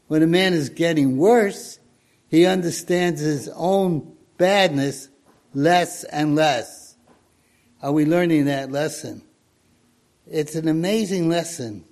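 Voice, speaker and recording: A man in his eighties preaching a sermon, reading scripture in a slow, measured way. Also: on the high side, a little mellow. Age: 80s.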